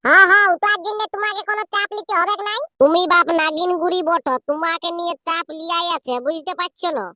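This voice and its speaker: A man's voice: extremely high pitch, steady tone, fast talker, bright, forceful tone, no room sound, studio quality, exaggerated voice. A man voicing a bustling, boastful village woman in a comic cartoon, with a Purulia accent and a bossy, repetitive way of chattering. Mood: impatient.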